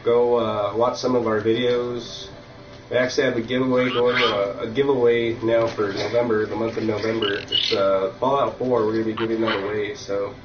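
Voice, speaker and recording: A man's voice: fairly low pitch, level tone, moderate pace, background noise. A young man with a cold, chatting casually and rambling with lots of fillers while streaming a game from home. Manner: rambling, filler-heavy, easygoing. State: has a cold, sniffly. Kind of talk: casual live-stream chat while playing. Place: room at home. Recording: distant, roomy, dull lo-fi mic.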